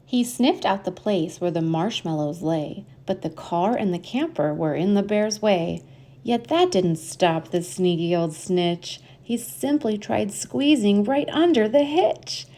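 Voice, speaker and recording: Slow-paced woman reading a children's storybook. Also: medium pitch, darkish tone. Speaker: storybook reader and host. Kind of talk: children's picture book read-aloud, rhyming narration with chatty asides.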